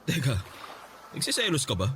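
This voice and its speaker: A man's voice: on the high side, huge pitch swings, moderate pace, a bit bright, slight background noise. A man playing a casual, slightly defensive young boyfriend in a radio drama, with a Filipino accent. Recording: music behind studio dialogue.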